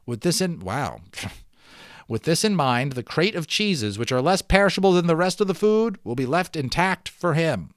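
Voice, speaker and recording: A man reading a children's story aloud dramatically, with offhand, chatty asides in an expressive manner. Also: on the high side, normal speed, dry recording, clean studio sound. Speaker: amateur audiobook reader.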